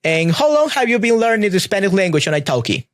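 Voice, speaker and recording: A man hosting a podcast interview, asking long, rambling questions and giving quick affirmations. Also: high-pitched, very animated. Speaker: podcast host. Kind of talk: casual interview.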